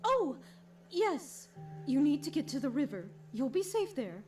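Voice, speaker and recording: A woman playing a confused, decaying pony in an audio drama, halting and forgetful as she speaks. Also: high-pitched, sing-song, darkish tone. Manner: halting, faltering, disoriented. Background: background music.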